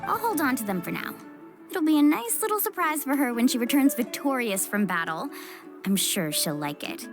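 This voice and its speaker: A woman's voice: on the high side, big pitch swings, moderate pace, music in the background. A woman voicing an upbeat, friendly young surfer girl in a video game, with a breezy, casual manner. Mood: warm, casual. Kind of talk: animated game voice acting.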